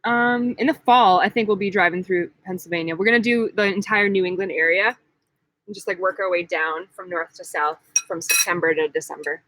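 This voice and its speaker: A woman's voice: on the low side, brisk, fairly bright tone, no room sound. A young woman hosting a casual live-stream Q&A, chatty and giggly, full of likes. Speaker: vlog host.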